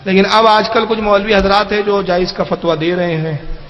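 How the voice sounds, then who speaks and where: High-pitched male preacher. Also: steady tone, normal speed. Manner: emphatic, argumentative, with rhetorical questions. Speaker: Islamic cleric. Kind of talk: religious question-and-answer sermon. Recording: dull, lo-fi audio.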